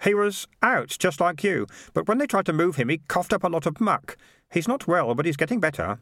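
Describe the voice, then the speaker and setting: A mild, earnest young man, eager and a little anxious, pitched well above a plain man's voice, as a male storyteller puts him on. He talks plainly and sincerely in a storybook reading. The recording is close and dry. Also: sing-song, a little fast, a bit bright, no room sound. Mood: earnest, worried.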